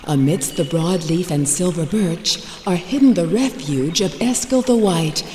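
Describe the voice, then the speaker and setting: Slow, dramatic fantasy game narrator. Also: medium pitch, darkish tone, slight background noise. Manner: dramatic, deliberate storytelling.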